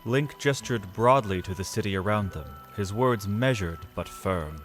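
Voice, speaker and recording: A man delivering dramatic, measured literary narration as the storyteller of a scripted fantasy tale. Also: on the low side. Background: music.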